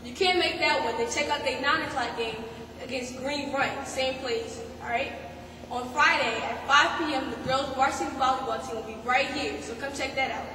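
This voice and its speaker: Brief: teen girl, high voice, chatty sports rundown in a very echoey gym, off-mic. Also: normal speed, bright, forceful tone. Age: teens. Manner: upbeat and chatty. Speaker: student sports reporter. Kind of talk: sports announcements. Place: school gym. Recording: echoey gym from far off with noise.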